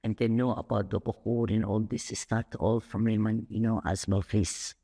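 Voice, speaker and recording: A woman who grew up in Saudi Arabia, a podcast guest telling stories in an animated, rambling way with sudden jumps up in pitch. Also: moderate pace. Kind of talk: conversational interview.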